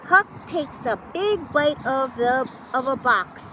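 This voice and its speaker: A woman with a high voice reads a children's picture book aloud over clearly noisy ambience. She takes her time, delivering the story in a playful, dramatic way with exclamations and pauses for suspense. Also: lively intonation.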